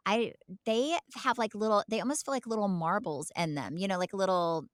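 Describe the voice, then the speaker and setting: A woman's voice: mid-range voice, fairly quick, no room sound. A woman co-hosting a podcast in casual, chatty style, emphatic and given to repeating words for stress. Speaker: podcast co-host. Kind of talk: casual chat.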